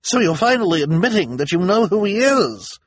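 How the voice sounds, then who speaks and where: A man voicing a gossipy storybook woman who relishes bad news, in an excitable, dramatic, drawn-out way. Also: sits high, slightly rough, no room sound, voice pushed up, heavily acted. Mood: triumphant.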